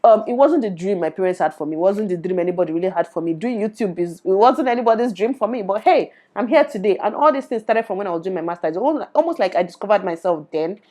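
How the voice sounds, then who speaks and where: Brief: young Nigerian woman, Nigerian accent, rapid animated vlog delivery, mid-pitched. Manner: animated, switches into Pidgin. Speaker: YouTuber. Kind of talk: opinionated to-camera vlog. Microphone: lapel.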